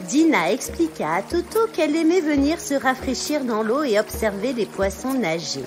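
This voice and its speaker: A woman's voice: high-pitched, very animated, moderate pace. A woman narrating a scripted children's story as a storyteller. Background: music.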